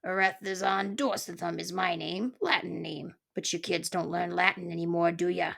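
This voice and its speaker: A woman voicing a cantankerous old male porcupine in a storybook, growling colorful, folksy insults in a gravelly voice. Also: fairly low pitch, steady tone, moderate pace, a bit bright, exaggerated voice. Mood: grumpy, scornful. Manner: barking colorful insults, folksy slangy speech.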